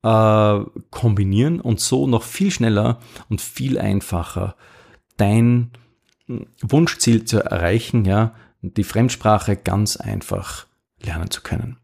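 A man talks straight to his listener in a relaxed, coaching way, often stopping to restart a thought. His voice sits low and carries a gravelly edge. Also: slow pace. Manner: informal, many fillers and restarts, coaching tone. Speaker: podcast host. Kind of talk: casual podcast monologue with sponsor read.

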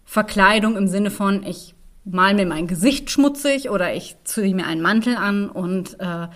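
A woman's voice: medium pitch, expressive, measured pace, fairly bright tone. A woman co-hosting a podcast, explaining fairy tales in a conversational way. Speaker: podcast co-host.

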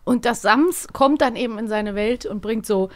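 Woman podcast host, slightly high voice, enthusiastic, casual chat. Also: moderate pace. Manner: enthusiastic, chatty, laughs often.

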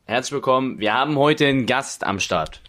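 Young man, podcast host, chatty, relaxed, medium pitch, faint background noise. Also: brisk. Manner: relaxed, chatty, jumps in often. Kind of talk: casual podcast chat. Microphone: sharing one mic with the guest.

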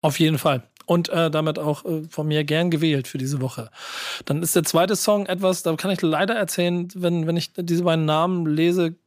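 Man, forties, talk show host, fairly high voice, dry sound, casual music talk, informal, repeated phrase starts. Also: steady tone, normal speed, no echo. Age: 40s.

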